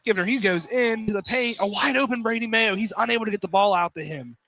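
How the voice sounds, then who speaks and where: A man's voice: high voice, fast, pushed and bright. A man doing live play-by-play commentary of a basketball game from inside a field house. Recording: noisy gym with ball bounces.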